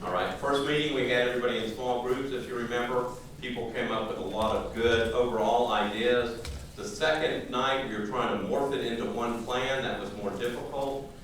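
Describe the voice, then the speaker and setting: A man's voice: mid-range voice, steady tone, moderate pace, few pauses, fairly bright tone. Man running a meeting in an echoey hall. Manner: informal, conversational, with frequent restarts. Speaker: meeting facilitator. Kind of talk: public meeting facilitation. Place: large room at a public library. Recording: echoey hall, faint room noise.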